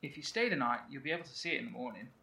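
An actor playing a man survivor in an audio horror drama, telling a story in a vivid, animated way. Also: mid-range voice, racing, creaky. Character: man survivor describing a strange void. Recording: roomy echo, faint ambience.

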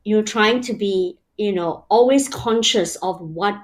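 A woman's voice: medium pitch, a little slow. A woman from Malaysia, a guest in an interview, explaining her ideas step by step in a reflective, casual way with many fillers. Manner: reflective, explains in numbered steps with many "you know" fillers.

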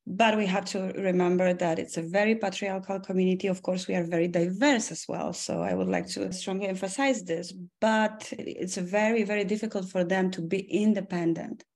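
A woman in her forties from Poland, an academic answering interview questions in a measured way, with frequent restarts and fillers. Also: mid-range voice, steady tone, a little mellow. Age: 40s. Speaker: academic and foundation president.

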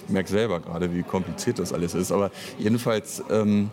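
A man giving unscripted interview answers in a rambling, halting way as a writer and director. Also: deep voice, fairly even intonation, crowd noise. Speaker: interview guest, audio drama author and director.

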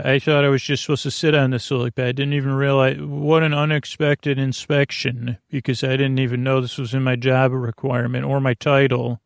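Storybook frog father, man acting: mild grumbler, creaky fry, halting and rambling, dry close sound. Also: medium pitch, no room sound. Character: mild, put-upon working frog father telling family history.

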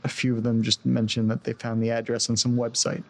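An actor playing a distressed, desperate man in an audio drama, speaking earnestly and haltingly, often breaking off mid-sentence. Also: mid-range voice, completely flat delivery, darkish tone, lightly acted. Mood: haunted, uneasy. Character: distressed man recounting a haunted-house ordeal, desperate to get home. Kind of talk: audio drama acting, naturalistic.

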